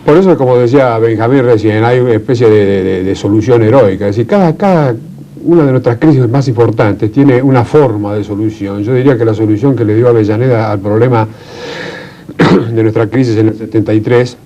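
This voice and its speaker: Mellow Argentine man, slightly muffled sound. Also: medium pitch, brisk. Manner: didactic, with frequent check-in tags. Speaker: panel guest explaining history. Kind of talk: conversational analysis. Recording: dull, lo-fi sound.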